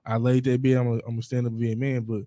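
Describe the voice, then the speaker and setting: A man's voice: medium pitch, flat delivery, racing, dark tone, no room sound. A man in his twenties in casual podcast chat, blunt and profane. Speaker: podcast guest.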